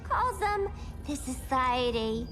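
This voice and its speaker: Brash cartoonish jester girl, very high and bouncy, giddy slang delivery. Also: sing-song, slow, a bit bright, exaggerated voice. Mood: playful. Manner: giddy, slangy, sing-song. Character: zany young female antihero jester, brash. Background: game score music.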